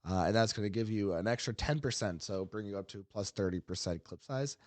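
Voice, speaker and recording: A man's voice: fairly low pitch, fairly quick, dry recording. Man chatting on a dry podcast. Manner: rambling, lots of ums and uhs, laughs easily. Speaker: podcast co-host. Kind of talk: casual podcast chat about a game.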